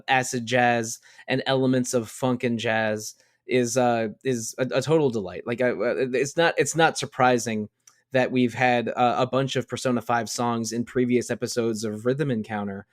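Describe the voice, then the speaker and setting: A man chatting on a podcast panel about game music, enthusiastic and halting, often restarting and repeating words. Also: mid-range voice, fairly even intonation.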